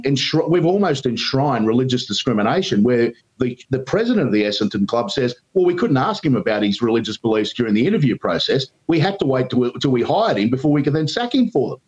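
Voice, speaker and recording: A man's voice: big pitch swings, fairly quick, a little mellow. A man with an Australian accent, a radio talkback host, giving emphatic opinions with frequent ums as a guest. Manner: emphatic, pitch leaps when indignant, frequent ums. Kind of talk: opinionated commentary in an interview. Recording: dull lo-fi remote line.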